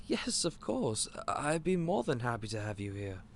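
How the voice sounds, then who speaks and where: An actor playing a gentle, clumsy candy shop owner who stammers and speaks in a polite, old-fashioned way. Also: male voice, mid-range voice, sing-song, moderate pace, gravelly, a little hiss or hum. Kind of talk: audio roleplay acting.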